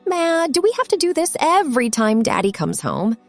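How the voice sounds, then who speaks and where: Woman acting young storybook sister, whiny, goading, teasing, raised pitch, faint music. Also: lively intonation, moderate pace, no echo, close to an everyday voice. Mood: whiny complaint. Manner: complaining, goading.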